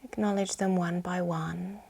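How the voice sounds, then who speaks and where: Breathy, whispery woman guiding slow meditation. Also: on the low side, fairly even intonation. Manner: soothing, gently instructing. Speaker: yoga nidra guide. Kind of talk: guided relaxation meditation.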